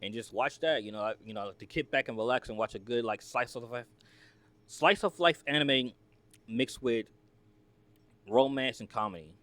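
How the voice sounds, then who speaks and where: A man's voice: medium pitch, normal speed, fairly bright tone, dry recording. A man from the United States hosting a reaction video, talking casually in a rambling, filler-heavy, chatty way.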